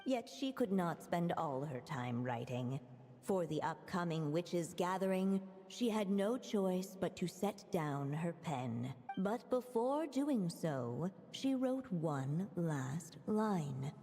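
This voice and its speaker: A female storybook narrator in a video game. She speaks slowly and deliberately, with teasing theatrical poise, in a slightly low voice. Long reverb surrounds her words over clear music. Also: sing-song, dark tone, exaggerated voice. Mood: measured storytelling. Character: measured, teasing female storybook narrator of a witch's fairy tale.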